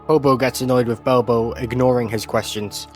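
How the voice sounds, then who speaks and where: A man's voice: medium pitch, fairly even intonation, normal speed. A man narrating a video essay in a scripted, descriptive storytelling style. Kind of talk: scripted narration, recapping an animated series. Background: music.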